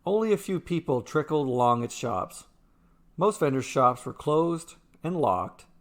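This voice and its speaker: A man's voice: fairly high pitch, animated, measured pace. Man narrating a fantasy short story.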